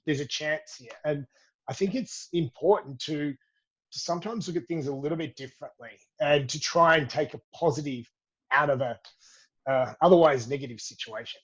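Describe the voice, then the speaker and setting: Australian man, middle-aged, slightly high, husky, upbeat, dull remote-call sound. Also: normal speed, fairly bright tone. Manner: upbeat, calls the host mate. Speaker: podcast guest, fitness educator. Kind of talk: conversational interview answers.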